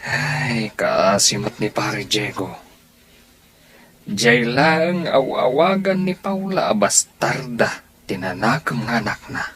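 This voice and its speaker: A man voicing a village neighbor who chats slowly about others' troubles. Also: medium pitch, animated, a bit bright. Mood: gossiping.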